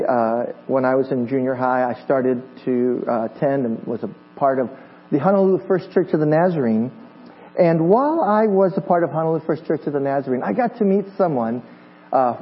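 A man in his fifties from Hawaii, a visiting pastor preaching a sermon in a friendly, joking way, with many fillers and restarts. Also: fairly high pitch. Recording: dull lo-fi church recording with mains hum.

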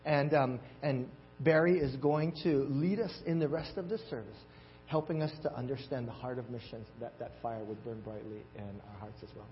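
A man speaks eagerly at a church service, introducing the next speaker and pausing on fillers. The sound is dull and lo-fi, with a faint hiss behind it. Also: medium pitch. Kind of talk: service announcement. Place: church sanctuary.

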